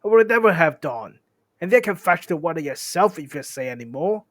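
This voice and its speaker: A man voicing a blunt, argumentative male troll from a storybook, loud and contradicting. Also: on the high side, sing-song, normal speed, no echo, clean studio sound, pitched above the performer's own voice.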